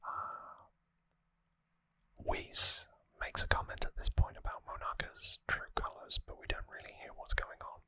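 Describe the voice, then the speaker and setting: A person whispering an ASMR recap in a hushed, breathy, conversational way, close to the microphone. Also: a little slow, dry recording. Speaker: ASMR host. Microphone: close, dry microphone.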